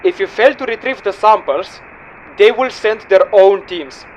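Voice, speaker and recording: A man's voice: sits high, moderate pace, lo-fi sound, slight background noise, lightly acted. A man playing a stern, businesslike mission handler, giving a formal and unyielding briefing in an audio drama. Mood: firm, serious.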